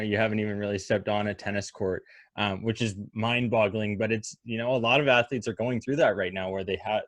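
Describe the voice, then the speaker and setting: A man hosts an interview podcast in a friendly, easygoing way. His questions run long and loop with small fillers. The sound is dry and close, with no room echo. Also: fairly low pitch. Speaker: podcast host.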